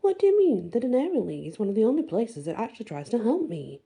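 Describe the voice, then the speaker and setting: A woman voicing a gentle teenage girl's summoned soul in a fantasy story. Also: a little high, big pitch swings, fast talker, vocal fry, breathy edge, voice pushed up, full character voice. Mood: earnest, puzzled. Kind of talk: fantasy audiobook dialogue.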